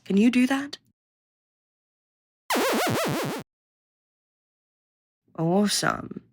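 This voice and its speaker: A woman's voice: medium pitch, expressive, moderate pace, background noise, natural voice. A woman playing a curt, condescending government researcher who briefs a recruit with blunt, sardonic impatience in an audio roleplay. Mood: dry, stern. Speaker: researcher guiding a new recruit. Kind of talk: audio roleplay acting, addressed to listener.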